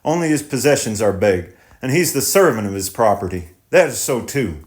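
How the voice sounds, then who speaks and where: A man reading aloud, voicing a stubborn, pondering tenant farmer who speaks plainly and slowly. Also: medium pitch, very animated, lightly acted. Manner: slow, pondering, plain-spoken. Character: older male tenant farmer, brooding and stubborn.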